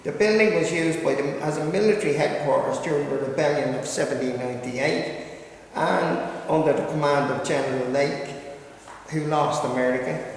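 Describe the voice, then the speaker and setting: Gravelly man lecturing in an echoey hall. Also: a little high, a little hiss or hum. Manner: reads steadily, then breaks into hesitant asides. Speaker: local history speaker. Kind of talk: history lecture read from notes. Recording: echoey hall recorded from far off.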